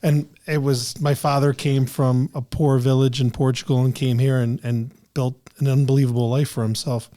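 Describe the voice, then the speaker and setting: Mellow-voiced guy hosting a podcast, rambly, keeps restarting, says you know a lot. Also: mid-range voice, flat delivery, normal speed, hardly any pauses. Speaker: podcast host. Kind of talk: casual interview chat.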